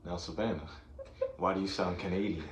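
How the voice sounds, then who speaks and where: A man in casual chat with a friend, animated, acting out what people said and laughing often. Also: deep voice, a little fast, a little hiss or hum. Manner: animated, acts out quotes, laughs a lot. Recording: roomy sound with faint music.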